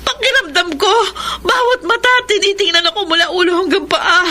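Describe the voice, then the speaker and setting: An actress playing an anguished, resolute adult daughter in a Filipino radio drama, with an emotional delivery and a Filipino accent. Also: female voice, extremely high pitch, brisk, bright, forceful tone, a little hiss or hum. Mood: tearful despair. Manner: emotional, tearful.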